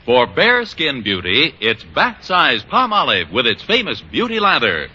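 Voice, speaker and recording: Punchy, very animated American radio announcer, male. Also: medium pitch, unhurried, slightly rough. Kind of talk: 1940s-1950s radio commercial reading. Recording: muffled old radio broadcast.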